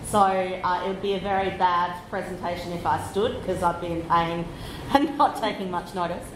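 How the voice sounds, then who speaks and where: A woman with an Australian accent giving a conference talk, loud and chatty, slowing down on purpose. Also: lowish voice, fairly even intonation, reverberant, background noise. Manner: loud, chatty, deliberately slowed. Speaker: conference speaker. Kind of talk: conference presentation. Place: conference room.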